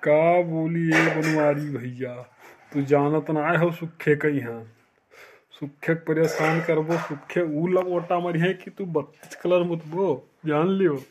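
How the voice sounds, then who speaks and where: A man plays a cocky, drunken cartoon villager with an Awadhi accent and a fairly high pitch. He sounds sulky and defiant, swaggering like a self-appointed village don. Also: steady tone, measured pace, noisy background, natural voice. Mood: sulky defiance. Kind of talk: comic cartoon dialogue.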